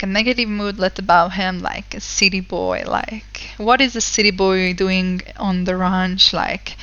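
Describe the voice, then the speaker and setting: A woman's voice: mid-range voice, pushed and bright, dry recording, lo-fi sound. A young woman who is not a native English speaker, casually chatting through gameplay commentary with lots of fillers. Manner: chatty, rambling, many fillers. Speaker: let's play host.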